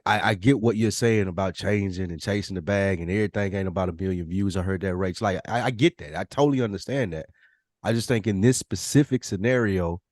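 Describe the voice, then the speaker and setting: A man's voice: deep voice, a little mellow, no room sound, clean studio sound. A man giving opinions as a podcast panelist, emphatic and slangy with some cursing, repeating phrases to drive them home. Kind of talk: opinionated casual discussion.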